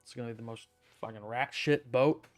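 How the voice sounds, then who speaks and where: A woman streamer giving casual game commentary in a sarcastic, deadpan, sweary way. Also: very deep voice, fairly quick, faint music behind.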